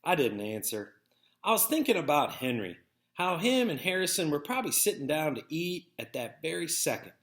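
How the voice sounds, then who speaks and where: A man reading a first-person story aloud as narrator, with an expressive, folksy storytelling manner. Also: on the high side, moderate pace. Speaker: read-aloud narrator, teacher. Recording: echoey, roomy sound.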